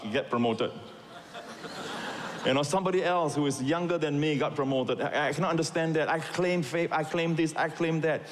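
A middle-aged man from Singapore, raised in Malaysia, preaching a sermon with emphatic asides and calls to the congregation. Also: a little high. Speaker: pastor. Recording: long reverb in a large hall.

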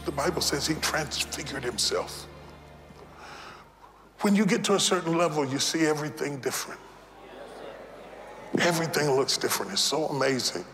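A man in his fifties preaching an impassioned sermon in a church, repeating phrases and building to shouted peaks. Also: fairly high pitch, animated, normal speed, long pauses, soft background music. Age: 50s. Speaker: pastor. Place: church sanctuary. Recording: long reverb of a large hall.

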